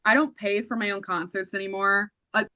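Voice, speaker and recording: A woman co-hosting a podcast in casual chat, often chiming in with quick yeahs. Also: medium pitch, fairly even intonation, bright, forceful tone, dry recording. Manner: chatty, quick agreeing fillers. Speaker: podcast co-host.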